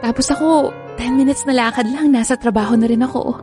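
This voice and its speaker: A woman's voice: fairly high pitch, brisk, lightly acted. A woman with a Filipino accent playing an affectionate, independent young girlfriend in a conversational audio drama. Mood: teasing, fond. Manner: teasing, firm but fond. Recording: background music under dialogue.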